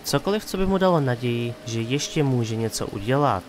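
A man's voice: mid-range voice, lively intonation, a little hiss or hum. A man hosting and narrating a scripted, dramatic fantasy story. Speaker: narrator and host. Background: faint background music.

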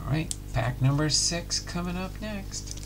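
A middle-aged man calmly calling out each trading card as he opens packs at home. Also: a little high, background noise. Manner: unhurried, announcing each card plainly. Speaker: card-breaking channel host. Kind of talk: casual pack-opening commentary. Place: home room with card displays.

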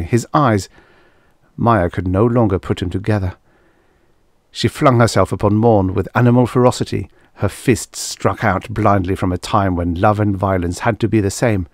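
A man reading literary science fiction as an audiobook narrator, with measured, expressive delivery and deliberate phrasing. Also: on the low side, dry recording, studio quality.

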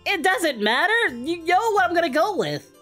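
A woman's voice: very high-pitched, very animated, moderate pace, fairly bright tone, soft background music, full character voice. A woman playing a goofy, easygoing and boastful male anime warrior hero in improvised, folksy, rambling parody game chat. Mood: cocky. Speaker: host of a gaming channel.

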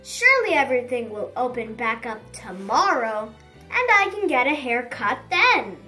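A woman voicing an excitable, dramatic young male blue bison in a children's storybook, with emphatic, indignant delivery. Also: fairly high pitch, normal speed, soft background music. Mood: insistent.

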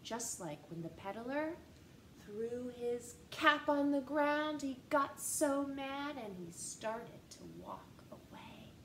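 A woman in her thirties reads a picture book to young children, slow and expressive. Her voice sits a little high. She speaks from a couch at home, recorded on the camera mic, with a roomy echo and faint hiss. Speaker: storytime reader. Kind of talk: children's read-aloud. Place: living room, seated on a couch. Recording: echoey room with faint hiss.

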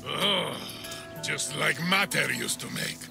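Boastful, dim, high-pitched muscleman. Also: animated, slow pace, creaky, over music, full character voice. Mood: childlike. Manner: bragging, overexcited, simple-minded. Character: boastful, dim-witted, childlike brawny male athlete. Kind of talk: comic video game acting.

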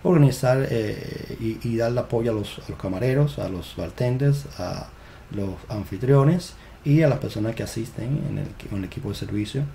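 A man's voice: lowish voice, gravelly, mellow, a little hiss or hum. A man hosting an immigration channel, reading through job listings in a casual screen-share with frequent "eh" fillers and restarts, recorded on a desk webcam mic. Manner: halting, many "eh" fillers and restarts.

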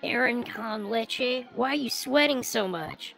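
A woman's voice: a little high, expressive, measured pace, gravelly, fairly bright tone, faint music behind, heavily acted. A woman playing a nosy, teasing younger sister in a motion comic, casual and questioning. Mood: curious, casual.

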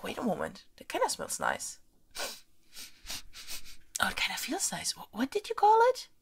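Woman acting as bossy young princess, ASMR roleplay, breathy and husky, swooping intonation, teasing, imperious, often whispering. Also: mid-range voice, exaggerated voice. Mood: curious, haughty. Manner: playfully bossy, imperious, expressive. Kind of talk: ASMR roleplay, close and soft-spoken.

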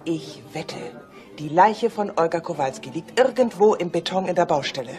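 A woman's voice: lowish voice, expressive, moderate pace, over music, lightly acted. An actress in a German radio drama playing a shrewd, self-assured policewoman who teases her boss. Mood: matter-of-fact, assured. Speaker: police officer.

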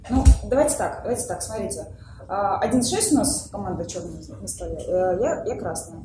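A woman's voice: mid-range voice, lively intonation, a little fast. A woman at a mafia game table putting her reasoning to another player, checking it with him. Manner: questioning, checks with the listener. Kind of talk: game argument. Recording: echoey room from a distance with hiss.

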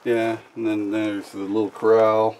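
A man's voice: lowish voice, monotone, a little slow, darkish tone. A man chatting casually and explaining things to friends on a camping trip, picked up outdoors by a camera microphone. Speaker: camping companion and guide. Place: outdoors in the woods at a campsite. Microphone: camera microphone, a little away. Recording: noisy outdoor camera audio.

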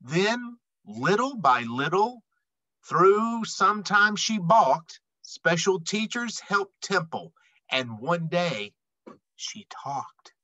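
Man, middle-aged, picture book read-aloud, bright forceful voice, unhurried, dramatic pauses, dry close sound. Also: high voice, sing-song, no room sound, poor audio. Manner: emphatic, dramatic phrasing with deliberate pauses.

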